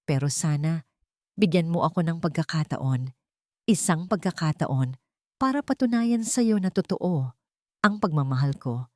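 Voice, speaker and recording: A woman's voice: low voice, expressive, measured pace, long pauses, no room sound, studio quality. A Filipino-accented woman voicing a remorseful man who earnestly pleads for forgiveness in a dramatic story. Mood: pleading.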